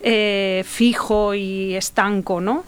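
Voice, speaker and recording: A woman's voice: mid-range voice, fairly even intonation, takes it slowly, clear, resonant tone. A woman born in Buenos Aires, chatting casually as a guest in a radio interview, conversational and checking for agreement as she goes. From born in Buenos Aires, emigrated to Spain.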